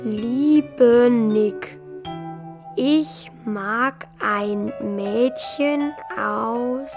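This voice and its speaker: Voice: mid-range voice, drawn-out words. A performer playing a curious, slightly shy schoolboy in a children's radio play, speaking hesitantly and colloquially. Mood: halting reading. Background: music.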